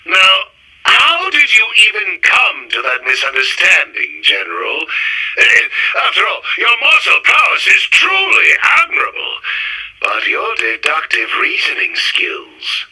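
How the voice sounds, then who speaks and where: An actor playing a pompous, exasperated mad-scientist supervillain in a retro radio serial, grandiose and wordy. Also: male voice, sits high, huge pitch swings, measured pace, pushed and bright, slightly rough, full character voice, rough recording. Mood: exasperated, flustered. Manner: grandiose, theatrical, verbose.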